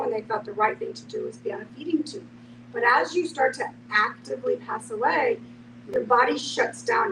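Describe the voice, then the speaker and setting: A woman earnestly explaining to a discussion group in a conversational way, with everyday examples. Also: on the high side. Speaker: end-of-life educator in a group discussion. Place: echoey room, away from the microphone. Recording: roomy, distant microphone, clear background noise.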